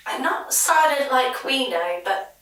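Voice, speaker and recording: An actress playing a wary waitress who tells of an eerie encounter in halting, colloquial speech for a taped audio drama interview. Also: female voice, high voice, expressive, fairly bright tone, heavily acted. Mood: uneasy. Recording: echoey old interview tape, distant microphone.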